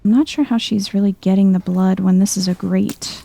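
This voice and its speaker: A soft-spoken woman giving chill ASMR video game commentary, musing to herself as she plays. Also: medium pitch, fairly even intonation, brisk, gravelly.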